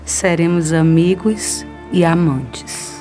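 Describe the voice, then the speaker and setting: Low-voiced, slow seductress. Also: female voice, warm tone, faint music behind. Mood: flirtatious. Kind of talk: radio soap opera acting.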